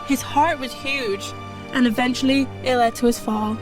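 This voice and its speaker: A woman playing a solemn, protective warrior mentor with an earnest, measured delivery in a sci-fi audio drama. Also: high voice, steady tone, music in the background, close to an everyday voice.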